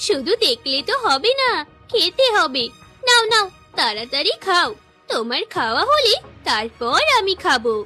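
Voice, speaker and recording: A woman voicing a scheming, two-faced village wife in a melodramatic cartoon, sharp-tongued and theatrical. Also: sits high, very animated, moderate pace, soft background music, exaggerated voice. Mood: brisk.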